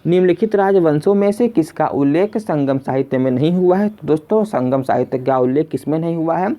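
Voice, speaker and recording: Quiz tutor for exam prep, soft dark tone, medium pitch, repeats each question to listeners in a friendly address. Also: lively intonation, fairly quick. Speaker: quiz teacher. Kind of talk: scripted question-and-answer exam lesson narration.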